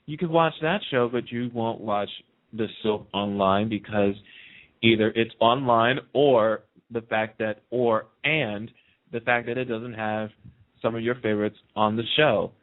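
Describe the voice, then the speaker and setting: A man's voice: on the low side, unhurried. A man hosting a talk show, chatting casually and giving opinions emphatically, often repeating a point for emphasis. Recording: narrow, muffled phone-like sound.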